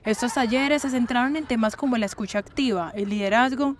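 A woman's voice: on the high side, brisk, a little hiss or hum. A woman reading a scripted news report as a voice-over.

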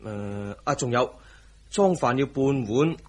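Husky young husband and son. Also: male voice, medium pitch, lively intonation, measured pace, darkish tone. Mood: cheeky, coaxing. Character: cheeky, coaxing grown son and protective husband. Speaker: radio drama actor. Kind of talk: Cantonese radio drama acting.

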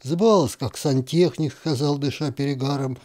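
A man narrating a short story in an expressive literary reading, with a wry, ironic delivery. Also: mid-range voice, moderate pace.

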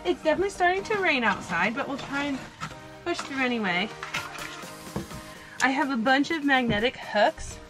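A woman's voice: sits high, expressive, normal speed, long pauses, music in the background, rough recording. A woman in her thirties chatting upbeat to camera while packing inside a small camper van. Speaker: vlogger. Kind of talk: casual vlog narration.

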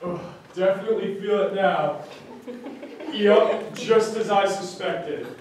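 A man's voice: high-pitched, slow pace, darkish tone. A young man on stage playing a nosy, wisecracking sitcom neighbor with exaggerated, theatrical delivery. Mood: disgusted, grave. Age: young adult. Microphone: far camera. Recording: very echoey theater, distant camera, faint noise.

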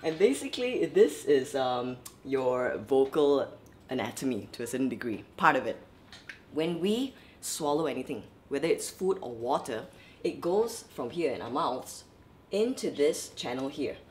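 A young woman vocal coach, from Malaysia, giving lively, chatty tips in a vlog while her voice is dry and scratchy. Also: very deep voice, unhurried. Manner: lively, chatty, many fillers. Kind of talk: instructional vlog.

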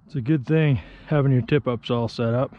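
A man's voice: mid-range voice, steady tone, fairly quick, highs cut off. A man casually explaining his ice fishing setup outdoors on a frozen lake, plainspoken and self-deprecating, recorded on a body-worn action camera. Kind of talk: casual how-to narration.

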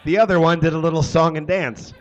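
A man on a small stage throwing loud comic interjections into a live reading, away from the microphone. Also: on the high side, fairly even intonation, fast talker, highs cut off. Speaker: co-performer interjecting.

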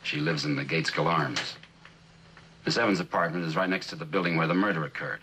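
A reluctant witness in a 1960s television courtroom drama. His voice is extremely low and rough, with a firm, tense edge. He answers carefully, with halting pauses. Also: pushed and bright, exaggerated voice, low-quality recording. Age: middle-aged. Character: guarded, reluctant middle-aged male sculptor on the stand. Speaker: actor as witness.

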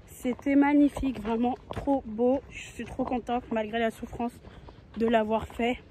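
A woman's voice: a little high, fairly even intonation, unhurried, mellow, a little hiss or hum. A young woman talking casually to a phone camera outdoors, chatty and playful. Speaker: travel vlogger. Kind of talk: casual vlog talk to camera. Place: outdoors in nature. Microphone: phone at arm's length.

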